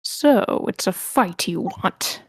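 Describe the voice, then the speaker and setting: Monster spirit played by a woman: mid-pitched, theatrical, scornful, archaic diction, dry close sound, table read. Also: lively intonation, takes it slowly, slightly rough, no room sound, exaggerated voice. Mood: menacing.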